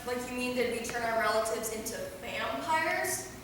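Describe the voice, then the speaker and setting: Heard from far off in an echoing hall, a woman plays a brash young vampire in a comic stage play. She is slangy, sassy and excitable, with a slightly high voice. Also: normal speed. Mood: excitable, silly. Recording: echoey hall from far off, noisy.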